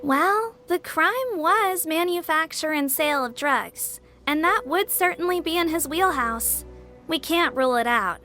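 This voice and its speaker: An actress playing a thoughtful woman in a video game, speaking slowly and deliberately. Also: high-pitched, lively intonation, pushed and bright, faint music behind, full character voice.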